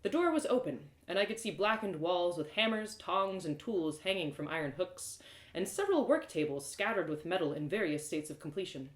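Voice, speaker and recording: Give me a woman storyteller reading scripted first-person narration aloud. Her delivery is expressive, with lively, wide pitch movement, and the room around her is audibly echoey. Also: a little fast. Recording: roomy, echoing live reading.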